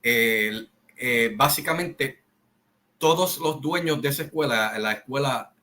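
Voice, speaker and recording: A middle-aged man from Rico, giving a slow, opinionated monologue full of pauses and fillers. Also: medium pitch, pushed and bright. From Puerto Rico. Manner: slow, deliberate, repetitive, with many pauses and fillers. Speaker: commentary channel host.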